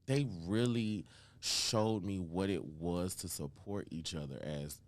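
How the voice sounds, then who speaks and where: A man's voice: sits low, slow pace, no room sound. A man, a rapper, being interviewed in a radio studio, talking emphatically and confidently. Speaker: rapper and guest. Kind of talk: casual interview.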